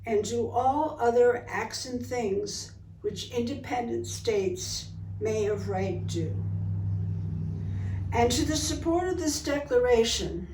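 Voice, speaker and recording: A woman reading a historic document aloud in a solemn, deliberate, measured way. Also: a little high, lively intonation, noisy background. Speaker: public reader at a commemorative event. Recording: roomy echo, distant microphone.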